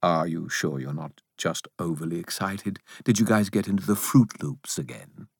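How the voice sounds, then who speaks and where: A man's voice: deep voice, normal speed, vocal fry, a little mellow, husky, dry recording, clean studio sound, exaggerated voice. A man voicing a smug, put-upon castle spirit who talks in a casual, dismissive, superior way for a storybook.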